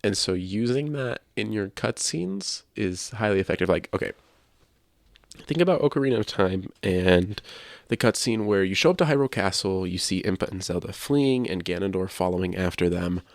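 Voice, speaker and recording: A man with a low voice and plenty of vocal fry, recorded dry and close. He talks as a podcast co-host, explaining his opinions in a measured, conversational way. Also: lively intonation, no echo. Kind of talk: casual discussion of video games.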